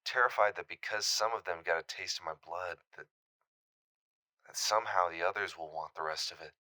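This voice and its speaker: Shaken man with a low voice, halting scary voicemail story for an audio drama, really dry close sound. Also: bright, forceful tone, no room sound. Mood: frightened, pleading. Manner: hesitant, halting, haunted. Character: shaken young man confessing a terrifying encounter by voicemail.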